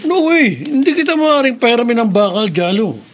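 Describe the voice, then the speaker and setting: A man acting a cocky, loyal young buddy in a radio drama, expressive and slangy. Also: very high-pitched, moderate pace, full character voice.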